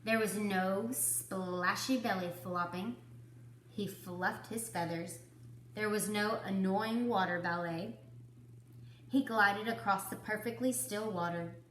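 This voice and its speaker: A woman reading a children's story to kindergarteners, with deliberate, dramatic phrasing. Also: mid-range voice, a bit bright, roomy sound, a little hiss or hum, low-quality recording. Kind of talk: expressive children's story narration.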